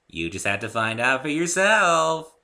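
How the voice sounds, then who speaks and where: A man voicing a bubbly, excitable, scatterbrained young female pony in a dramatic story reading, with a gushing, teasing manner. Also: medium pitch, unhurried, pitched above the performer's own voice, heavily acted.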